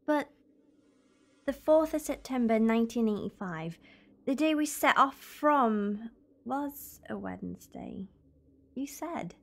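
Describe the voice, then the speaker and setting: Woman acting high-voiced, defiant young woman. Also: animated, slow pace, gravelly, long pauses, exaggerated voice. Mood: uneasy, guarded. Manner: defiant, answers back bluntly. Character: tough, stubborn, disillusioned young woman, a time traveller's companion. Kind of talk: audio drama dialogue.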